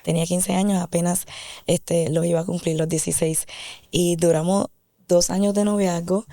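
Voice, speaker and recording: A woman with a slightly low, soft-edged voice shares her religious testimony as a guest. She is earnest and devout, recorded dry with little room sound. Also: flat delivery, normal speed, dry recording. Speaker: interview guest.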